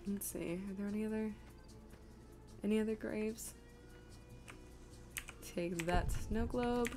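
A woman's voice: medium pitch, takes it slowly, music in the background. A woman streamer giving casual live gameplay commentary, chattily thinking aloud to herself and her viewers. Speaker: video game streamer.

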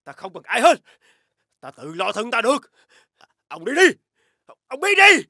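A man's voice: very high voice, huge pitch swings, measured pace, bright, forceful tone, no echo, clean studio sound, exaggerated voice. A man playing a proud, bitter ruined rich husband in a fairy-tale cartoon, theatrical and indignant. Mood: bitter, angry.

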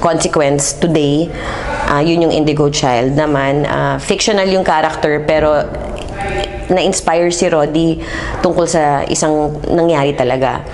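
Low-voiced middle-aged Filipino woman. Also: fairly even intonation, a crowd in the background. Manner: measured, switches between Tagalog and English. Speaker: interviewee, theatre festival organizer. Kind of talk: on-camera interview, explanatory talk. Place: indoor exhibit room.

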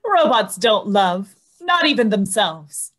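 A woman's voice: mid-range voice, big pitch swings, slow pace, a bit bright, exaggerated voice. A woman acting a detached expert on robot behavior in an old-time radio drama. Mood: detached, musing.

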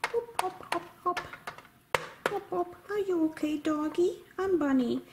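A woman's voice: extremely high pitch, slow, a little mellow, slight background noise, pitched above the performer's own voice, full character voice. A woman voicing a small, sweet, concerned toy bunny in children's pretend play.

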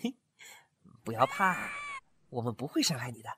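A man's voice: medium pitch, huge pitch swings, normal speed, very noisy. A man playing a talkative, curious young antique-shop owner who often muses aloud to himself. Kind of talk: fan audio drama acting.

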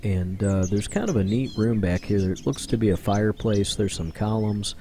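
Rambling low-voiced man narrating his explorations. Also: moderate pace, warm tone, slight background noise. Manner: rambling, conversational, with many fillers. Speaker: urban explorer vlogger. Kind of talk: casual voice-over narration.

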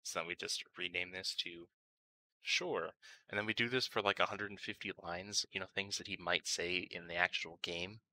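A man narrating a casual step-by-step software tutorial, informal and thinking aloud as he works. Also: low voice, fairly even intonation, normal speed, rough recording. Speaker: tutorial host.

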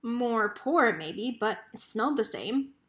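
A woman reading a children's picture book aloud as narrator, with expressive storytelling. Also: fairly high pitch, takes it slowly.

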